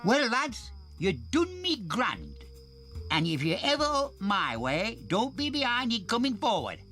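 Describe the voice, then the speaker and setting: A man's voice: very high-pitched, huge pitch swings, a little slow, sharp tone, voice pushed up, exaggerated voice. A man voicing a hearty old male elephant with a Northern English accent who sniffs and speaks bluntly, over background music. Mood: hearty folksy. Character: cheerful elderly male elephant, ex-coal-mine worker.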